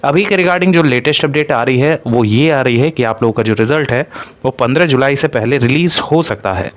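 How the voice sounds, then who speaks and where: A man's voice: medium pitch, a little fast. A man speaks as an exam-coaching presenter, explaining an update to candidates and leaning on repeated stock phrases.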